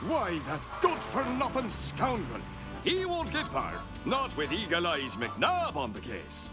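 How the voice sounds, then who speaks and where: Pompous high-pitched cop. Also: male voice, wildly swooping pitch, a little slow, heavily acted. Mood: indignant, boastful. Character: pompous, self-assured policeman. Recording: loud cartoon music behind.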